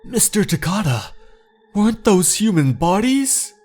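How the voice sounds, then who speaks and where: A man voicing a frightened young male fisherman who shouts in alarm. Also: high-pitched, very animated, unhurried, pitched above the performer's own voice, exaggerated voice.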